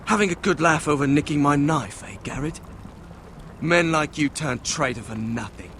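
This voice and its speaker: An actor playing a bitter, abrasive young recruit of a fantasy night watch in a video game, quick to swear and accuse. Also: male voice, on the high side, expressive, a bit bright, a little hiss or hum. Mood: accusing.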